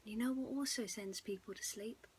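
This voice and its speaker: A woman narrating a bedtime story in a soothing, hushed manner that often drops close to a whisper. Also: fairly high pitch, normal speed, slightly rough.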